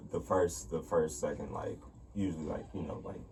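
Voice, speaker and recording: A man's voice: extremely low pitch, steady tone, moderate pace, vocal fry, darkish tone. A man born in Turkey and raised in the US, a podcast guest telling stories in casual chat, full of fillers and acted-out quotes. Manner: rambling storytelling, filler-heavy, acts out quotes. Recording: distant mic with faint noise.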